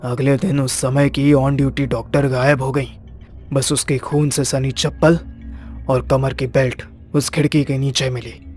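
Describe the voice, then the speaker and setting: Solemn, even-toned male hospital dean acted. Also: medium pitch, soft background music, lightly acted. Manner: solemn, measured. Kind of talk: cartoon horror story dialogue.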